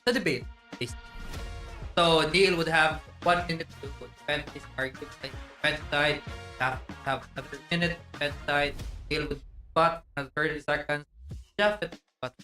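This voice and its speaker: A man co-hosting a casual sports talk show, halting and restarting, mixing in Tagalog. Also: a little high, steady tone, bright, forceful tone, faint music behind. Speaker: co-host.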